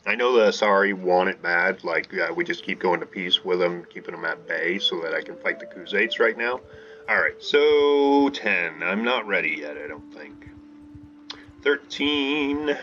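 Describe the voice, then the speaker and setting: A man giving excited live commentary over his gameplay, talking to his viewers and shouting during fights. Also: sits low, unhurried, lo-fi sound. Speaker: gaming channel host. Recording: game music behind voice.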